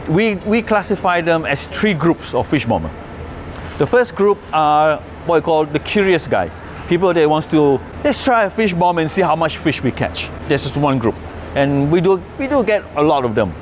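Middle-aged male presenter, slightly high voice, halting and plain-spoken, faint hiss behind. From Malaysia. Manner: hesitant, restarts often, plain explanatory. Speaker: conservation speaker. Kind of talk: presentation with audience Q&A.

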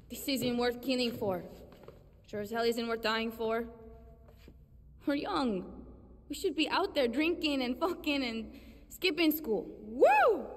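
A woman's voice: fairly high pitch, long reverb tail. An actress playing a blunt, defiant young woman who leads a rebel band with rousing, profane speeches. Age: young adult. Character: young woman guerrilla leader, fiery and defiant. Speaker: video game character. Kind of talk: game cutscene acting.

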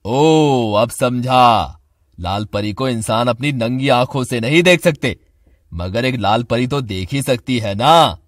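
A man's voice: mid-range voice, slow, dry recording, studio quality, lightly acted. A man voicing a sinister, scheming old sorcerer in a cartoon, with a menacing, commanding delivery. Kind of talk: Hindi cartoon voice acting.

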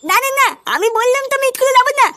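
Very shrill bratty little cartoon boy. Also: very fast, slight background noise. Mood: defiant. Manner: whiny, defiant, insolent. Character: bratty, foul-mouthed, stubborn little schoolboy. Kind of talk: crude comedy cartoon dialogue. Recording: loud music behind the voice.

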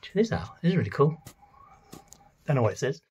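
A man's voice: on the high side, big pitch swings, brisk, a little mellow, dull audio, slight background noise. A man gives a curious, chatty walkaround of an old van in a workshop, thinking aloud as he goes. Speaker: vlogger restoring a classic van. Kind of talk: casual walkaround commentary.

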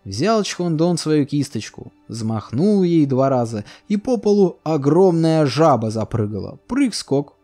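A man narrating a fairy tale for a cartoon in a sing-song, expressive storytelling manner. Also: a little high, measured pace, few pauses. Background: faint music.

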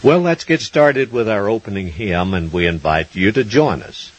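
Older Southern man, deep folksy announcer. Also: lively intonation, normal speed, a little hiss or hum, rough recording. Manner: homespun, neighborly. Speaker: announcer of a country music gathering. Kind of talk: folksy scripted radio show announcing. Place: on stage in a large wooden barn theater. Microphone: stand microphone close to the mouth.

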